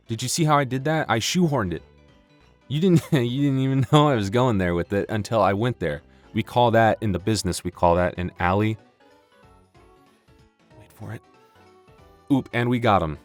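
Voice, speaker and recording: A man chatting casually and comically to the listener as an audio creator, rambling playfully with mock bragging and self-interruptions. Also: lowish voice. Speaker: audio roleplay creator and performer. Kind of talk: casual comedic outro chat addressed to the listener.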